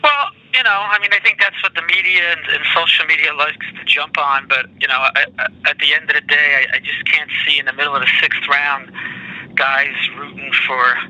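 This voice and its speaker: A man with a slightly high, very forceful voice talks casually on a press call. His delivery is conversational. The line is muffled, with faint noise. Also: steady tone, brisk, no room sound. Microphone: telephone conference call.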